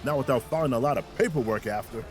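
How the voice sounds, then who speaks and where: An actor playing a gruff, sardonic infantry commander in a video game, giving orders in clipped, wry military phrasing. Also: male voice, medium pitch, quick pace, music in the background, lightly acted. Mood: dry sarcasm. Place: studio voice recording, music behind.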